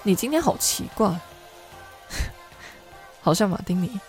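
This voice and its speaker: A woman acting as a caring bar manager in a radio drama. Her voice is mid-pitched and she gently nags and scolds, with music clearly playing behind her. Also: lively intonation, takes it slowly. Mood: fussing, puzzled.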